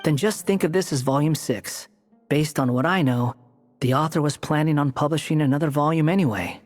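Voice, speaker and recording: A man voicing an aloof, sharp-witted young wanderer in a video game, explaining things in a dry, faintly condescending way. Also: a little high, a little fast, faint music behind, lightly acted. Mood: sardonic explaining.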